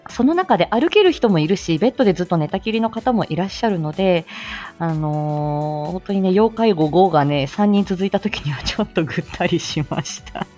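A woman's voice: lowish voice, lively intonation, a little fast, darkish tone, faint music behind. A woman hosting a casual live audio stream, chatting to listeners in a giggly, playful way. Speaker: speech-language therapist.

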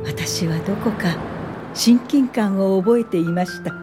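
An actress playing an earnest, polite young woman activist in a Japanese radio drama, speaking gently. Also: mid-range voice, measured pace, over music, natural voice. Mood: reflective narration. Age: young adult.

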